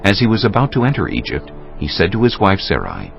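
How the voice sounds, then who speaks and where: A man narrating a dramatized scripture recording in a measured, solemn storytelling style. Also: low voice, huge pitch swings. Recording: muffled sound under clear music.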